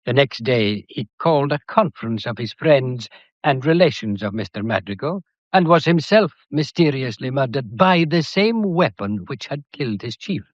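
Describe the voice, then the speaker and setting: An actor playing a shrewd, methodical police detective in a British period mystery drama, courteous and lecturing, with a faintly theatrical delivery. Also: male voice, medium pitch, expressive, moderate pace, dry recording, highs cut off, close to an everyday voice. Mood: measured, lecturing. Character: shrewd, methodical male police detective laying out a murder case.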